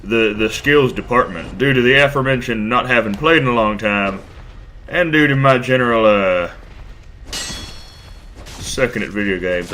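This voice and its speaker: A man's voice: fairly low pitch, pushed and bright, slight background noise. A man commenting casually on his gameplay, rambling, crude and self-deprecating, a bit stuffed up from a cold. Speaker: let's play host.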